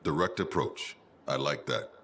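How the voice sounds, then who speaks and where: Very deep, stern military commander. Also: male voice, unhurried, fairly bright tone, slight background noise. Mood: stern, measured. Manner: curt, formal, commanding. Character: stern, proud veteran commander of wall guards. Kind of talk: scripted video game dialogue.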